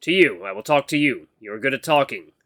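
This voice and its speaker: A man performs a stern male beast-man mentor in an audio story. He sounds stiff, blunt and formal, with a bright, forceful edge and an awkward lack of social grace. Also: mid-range voice, expressive, brisk, lightly acted. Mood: awkward, earnest.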